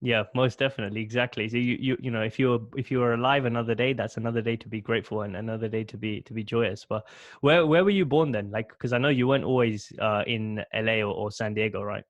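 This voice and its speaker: A man's voice: medium pitch, steady tone, fast, no echo, dull audio. A man in his thirties hosting a podcast, asking thoughtful questions with stammering restarts into a close studio mic. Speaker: podcast host. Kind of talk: interview questions. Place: small room at home.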